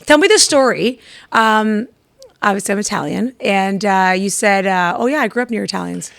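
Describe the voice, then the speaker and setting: A middle-aged woman hosting a casual interview show, chatty and quick to laugh. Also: mid-range voice, gravelly, a bit bright, no room sound. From Canada. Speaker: podcast host.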